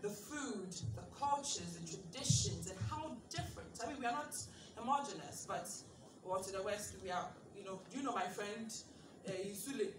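Woman from Ghana, thirties, show host, animated speech, distant mic, roomy echo. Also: mid-range voice, moderate pace, music in the background. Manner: animated, laughing asides, rhetorical questions. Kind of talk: speech to an audience. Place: large indoor event space. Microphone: handheld mic, recorded from far in the audience. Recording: distant echoey room recording with ambient noise. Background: crowd ambience.

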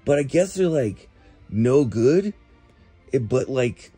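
Man, slow-paced slacker ramble, animated intonation, casual phone-message style, drawn-out words. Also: mid-range voice, husky, dry recording, soft background music. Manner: laid-back slacker, drawn-out words, frequent "like", chuckling. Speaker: comic voicemail caller.

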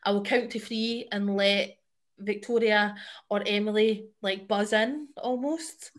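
A woman's voice: medium pitch, fairly even intonation, unhurried. A young Scottish woman hosting a casual podcast and quiz over a video call, bubbly and chatty, laughing often. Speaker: podcast host.

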